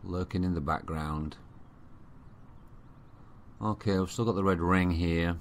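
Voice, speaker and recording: A man with a Yorkshire accent giving casual, unhurried gaming commentary, thinking aloud to himself. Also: deep voice, steady tone, a little hiss or hum. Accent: Yorkshire English. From Yorkshire, England. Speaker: gamer commentating own play. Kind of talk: casual let's play commentary. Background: strategy game ambience.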